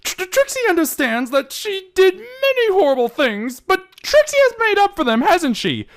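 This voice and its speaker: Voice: very high-pitched, big pitch swings, moderate pace, fairly bright tone, voice pushed up, full character voice. An amateur reader voicing a proud, defensive young showmare who stammers indignantly, in a fan fiction reading. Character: proud, flustered young showmare, defensive, speaks in third person.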